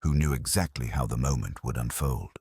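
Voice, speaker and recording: A man voicing a sharp, quietly commanding male detective with a precise, probing manner, in an audiobook mystery story. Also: extremely low pitch, moderate pace, husky, dry recording, clean studio sound, pitched below the performer's own voice. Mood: quiet, musing.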